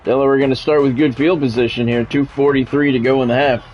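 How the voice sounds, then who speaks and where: A man's voice: mid-range voice, steady tone, fast, muffled recording, soft background music. A man doing play-by-play commentary on a football video game in a conversational way, with wry asides. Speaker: play-by-play announcer.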